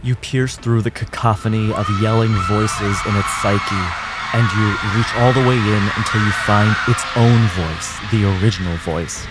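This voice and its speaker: A man acting as game master, narrating combat in a measured, descriptive way. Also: on the low side, steady tone. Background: music.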